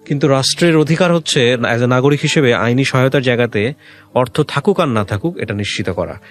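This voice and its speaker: A man's voice: medium pitch, a little fast. A man with a Bangladeshi accent interviewing as a radio host, conversational, dropping English words into his speech. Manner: mixes English words into speech, prompts with short questions.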